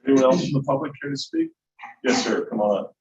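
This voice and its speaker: A man's voice: medium pitch, huge pitch swings, fast, vocal fry, darkish tone. A man chairing a city meeting as mayor, giving brief, courteous prompts to speakers. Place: city commission chamber. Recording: distant echoey muffled hall mic.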